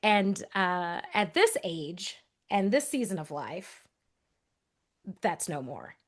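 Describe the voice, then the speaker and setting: A woman's voice: lowish voice, sing-song, takes it slowly. Earnest, thoughtful woman podcast co-host. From Texas, USA. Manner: earnest, thoughtful, with restarts and brief laughs. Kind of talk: reflective conversational storytelling.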